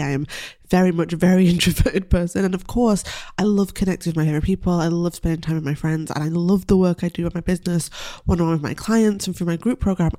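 A woman hosting a solo podcast as a business coach, talking in a gentle, reflective, earnest way while congested from a cold. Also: sits low.

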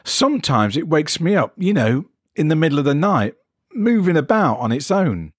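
An anxious, plaintive everyman from an audio story as a man acts him out. His pitch rises high and swings about as he complains in plain colloquial speech. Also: sing-song, moderate pace, husky, no echo, clean studio sound. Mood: uneasy, complaining. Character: anxious, complaining man troubled by a rogue arm.

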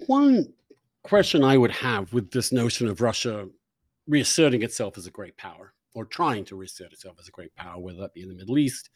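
A man's voice: fairly low pitch, big pitch swings, brisk, no room sound, studio quality. A man interviewing a guest, posing long conversational questions mixed with opinionated, emphatic commentary.

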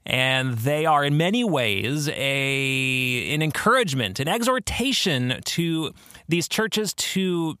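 A man hosting a podcast, explaining theology in a conversational, earnest way with expressive emphasis. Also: fairly high pitch, slow pace, clear, resonant tone, dry recording, clean studio sound. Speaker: podcast host.

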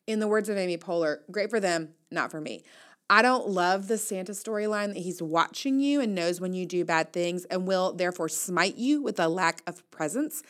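An American woman in her thirties hosting a solo podcast in a casual, confiding way, with wry, playful and emphatic asides. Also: on the low side. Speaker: podcast host and newsletter writer.

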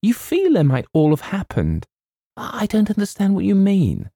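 A man's voice: high voice, wildly swooping pitch, moderate pace, warm tone, no echo, studio quality, pitched above the performer's own voice, exaggerated voice. A man voicing a sweet, fragile, romantic young woman in a storybook, with a breathless, gushing, hesitant manner.

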